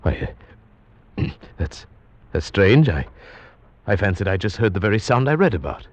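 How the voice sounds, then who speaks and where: A solemn, deep-voiced gentleman narrator. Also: sing-song, no room sound. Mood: uneasy. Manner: solemn, measured storytelling. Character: nervous, earnest gentleman narrator and friend. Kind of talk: old-time radio acting. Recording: muffled old radio recording with music.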